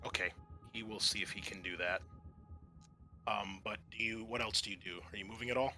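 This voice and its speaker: A man's voice: fairly low pitch, steady tone, fairly quick, pushed and bright, a little hiss or hum. A man acting as game master for a tabletop roleplaying game, explaining turns and narrating in a conversational, improvised way.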